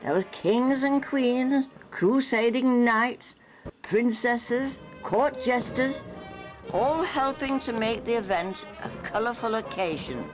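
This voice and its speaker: A woman's voice: fairly high pitch, slow, faint music behind, poor audio. A woman narrating a local newsreel from a script, with light wry humour.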